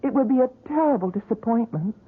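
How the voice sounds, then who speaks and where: Young woman, hesitant and insistent, husky, thin broadcast sound. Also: fairly high pitch, expressive, fast talker. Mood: earnest. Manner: hesitant, persistent. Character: young woman seeking a closed exhibit. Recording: tinny 1970s radio broadcast.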